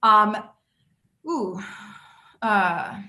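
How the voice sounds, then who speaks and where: Bright-voiced American woman moderating, roomy echo. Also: mid-range voice, animated, extremely slow. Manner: informal, hesitant, thinking aloud. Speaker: panel moderator. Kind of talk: casual moderating of a live video panel. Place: indoor room, on Zoom call. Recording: roomy echo over video call.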